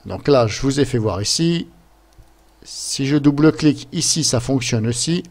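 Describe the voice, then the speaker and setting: A man's voice: mid-range voice, expressive, unhurried, a little mellow. Man, mid-range voice. Informal tutorial presenter, improvising step by step, loose and hesitant delivery. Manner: rambling, hesitant, step-by-step, fond of filler words. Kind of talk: casual improvised screen-share tutorial. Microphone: computer microphone.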